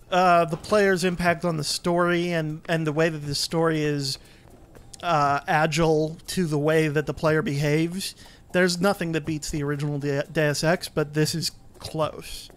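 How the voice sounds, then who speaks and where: Male game commentator, slightly high voice, casual and explanatory, pauses mid-thought. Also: fairly even intonation.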